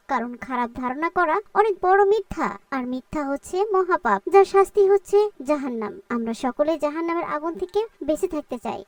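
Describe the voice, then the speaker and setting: A woman's voice: high-pitched, no room sound, far from the mic. A woman voicing a kind, righteous young madam in a cartoon, earnest and preachy. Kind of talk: cartoon voice acting.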